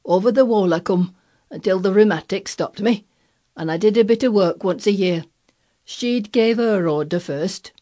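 A woman's voice: medium pitch, normal speed, a little mellow, pitched above the performer's own voice, close to an everyday voice. A woman voicing a crabbed but tender old Yorkshire gardener, a man with a dry, grumpy, shrewd way of talking. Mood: grumpy, obstinate. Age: older.

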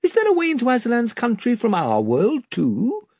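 A man's voice: very high voice, wildly swooping pitch, normal speed, a little mellow, husky, no echo, clean studio sound, pitched above the performer's own voice, exaggerated voice. A man voicing an eager young schoolboy who asks in surprise.

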